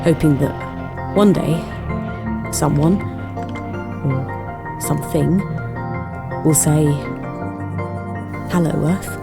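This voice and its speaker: A woman acting as a solitary radio operator, reflective and quietly hopeful. Her voice is low and unhurried, telling her story as an audio drama monologue with loud music behind it. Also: creaky, full character voice.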